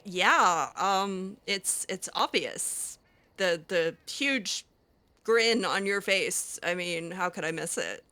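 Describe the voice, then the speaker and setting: A woman's voice: fairly low pitch, lively intonation, slow pace, pushed and bright. A young woman roleplaying her game character aloud, talking hesitantly with many fillers and restarts. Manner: hesitant, filler-heavy, giggly.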